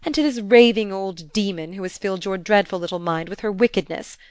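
A woman reading aloud and voicing a wrathful, scornful stepmother from period fiction in a loud, vehement manner. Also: medium pitch, moderate pace, no room sound, clean studio sound, natural voice. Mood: indignant.

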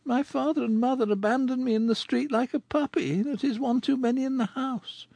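A man's voice: high voice, normal speed, gravelly, darkish tone, breathy edge, dry recording, pitched above the performer's own voice, heavily acted. A man voicing a sorrowful sixteen-year-old girl in an audiobook, with a plaintive, sighing delivery. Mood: bitter. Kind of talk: audiobook character reading.

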